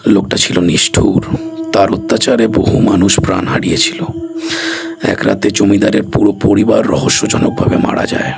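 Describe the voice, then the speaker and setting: A man tells a horror story in a very low, creaky voice. His delivery is scripted storytelling with a highly animated rise and fall. Music plays clearly behind him. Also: normal speed. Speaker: storyteller and channel narrator.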